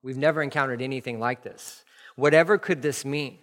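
A man preaching a sermon as pastor, telling stories in a lively, conversational way. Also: mid-range voice, brisk. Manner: storytelling, animated and conversational. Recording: long hall reverb.

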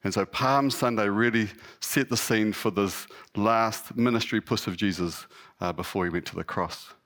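The stand-in preacher at a New Zealand church on a Sunday morning, a local man with a slightly husky, lowish voice and a local accent, chatting to the congregation with easy, self-mocking informality. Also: normal speed, fairly bright tone. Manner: informal, self-deprecating, lightly humorous. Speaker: lay guest preacher. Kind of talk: conversational sermon to a congregation.

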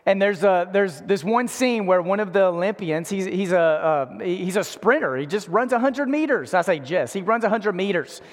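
A man's voice: sits high, animated, normal speed, few pauses. A man in his forties preaching in a conversational, emphatic style, repeating phrases for weight. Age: 40s. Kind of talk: conversational sermon. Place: large indoor room before an in-person audience. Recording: echoey hall with long reverb.

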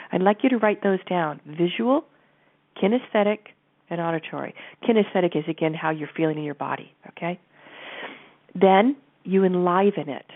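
A woman with a stuffy nose, calmly guiding listeners through an exercise from her office and speaking directly to them. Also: on the low side. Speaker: host and coach. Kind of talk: guided teaching talk. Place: home office. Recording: narrow phone-like line.

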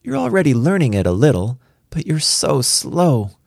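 A man's voice: mid-range voice, big pitch swings, normal speed, a little mellow, no room sound, studio quality, pitched above the performer's own voice. A man voicing a precocious four-year-old girl in a storybook reading, matter-of-fact, patient and superior. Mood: patient, exasperated.

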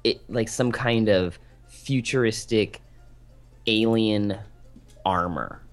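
A young man co-hosting a podcast in casual, joking chat, keeping his voice down at night. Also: takes it slowly. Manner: joking, keeps voice low for sleepers at home. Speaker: podcast co-host.

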